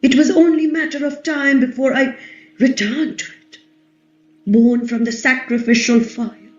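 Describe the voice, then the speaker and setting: A woman playing a proud, defiant fire-born epic queen scarred by humiliation, delivering a play reading in a deliberate, dramatic, intense way. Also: high voice, fairly even intonation, a little mellow, reverberant, highs cut off.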